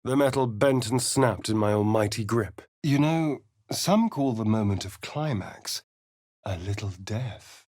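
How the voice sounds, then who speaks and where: An actor playing a sardonic, vain vampire spawn rogue in a video game, with a theatrical, drawling British delivery. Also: male voice, on the low side, measured pace, a little mellow, dry recording, clean studio sound, lightly acted. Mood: arch, amused. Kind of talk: video game character voice lines.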